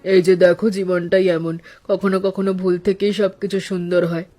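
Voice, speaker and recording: A woman's voice: mid-range voice, little pitch movement, dark tone, soft background music, close to an everyday voice. A woman playing a wise, sentimental elderly mother-in-law in a cartoon story, speaking in a reflective way. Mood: wise, nostalgic. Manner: slow, reflective, proverb-giving.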